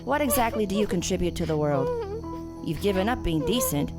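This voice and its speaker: A woman's voice: mid-range voice, big pitch swings, fairly quick, over loud music, lightly acted. A woman playing a self-righteous, menacing killer gardener in an audio drama, theatrical and condescending as she taunts her captive. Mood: righteous menace. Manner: theatrical, condescending, swinging from soft to shouting. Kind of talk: audio drama villain monologue.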